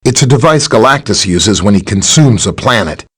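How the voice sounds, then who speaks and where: An actor playing a helpful, earnest scientist superhero in a video game, explaining technical matters in a friendly way. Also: male voice, fairly low pitch, lively intonation, normal speed, breathy edge. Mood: explanatory. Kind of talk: scripted game dialogue, explaining and briefing.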